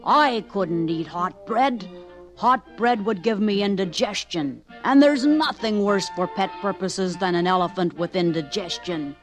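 A woman voicing a big, fussy male circus elephant in a children's story, with drawn-out exclamations. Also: medium pitch, soft background music, lightly acted. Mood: ponderous, apologetic.

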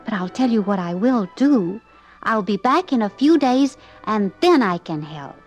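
A woman's voice: mid-range voice, lively intonation, measured pace, a little mellow, muffled recording, faint music behind. A woman voicing a sweet, polite six-year-old girl who speaks eagerly and kindly.

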